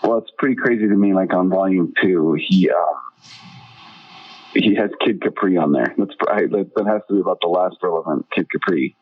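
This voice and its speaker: Male phone guest, somewhat low voice, dull distant line, talkative. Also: brisk. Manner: talkative, storytelling, trails off and restarts. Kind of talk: casual chat.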